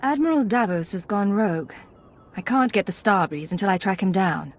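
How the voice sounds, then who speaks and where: A woman's voice: mid-range voice, animated, moderate pace, natural voice. A woman playing a cool female secret agent in a video game, terse and controlled. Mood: cool, focused. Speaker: game character voice actor. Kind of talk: video game dialogue acting.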